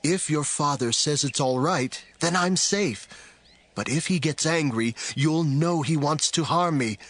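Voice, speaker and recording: Man acting as young male fugitive, raised pitch, dry close sound, earnest, anxious, pleading, audiobook drama. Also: a little slow, fairly bright tone, dry recording. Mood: earnest, urgent.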